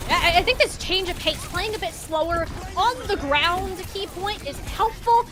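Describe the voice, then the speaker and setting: Very high-voiced woman casting esports. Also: normal speed. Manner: playful, slangy phrasing. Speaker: esports caster. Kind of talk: live game commentary.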